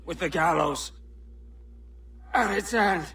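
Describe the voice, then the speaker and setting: A gravelly, scolding pirate hunter. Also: male voice, high voice, a bit bright, distant mic, a little hiss or hum, full character voice. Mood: grave warning. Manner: gruff, scolding, slow and grave. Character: older male pirate turned pirate hunter, stern. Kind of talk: video game cutscene acting.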